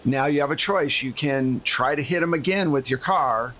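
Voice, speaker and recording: A man's voice: medium pitch, moderate pace, a bit bright, slight background noise. A man running a tabletop game, narrating casually as game master with a jokey streak.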